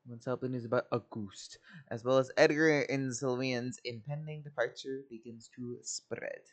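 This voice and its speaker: A woman's voice: a bass voice, fairly even intonation, normal speed. A woman streaming a game, giving casual reactions as she plays. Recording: game music behind the voice.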